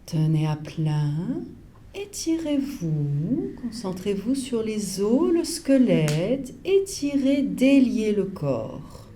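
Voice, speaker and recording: A woman guides a relaxation session as a yoga teacher, speaking slowly and calmly in short, spaced instructions. Her voice is mellow, with a lively rise and fall in pitch. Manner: calm, measured, pausing between short instructions.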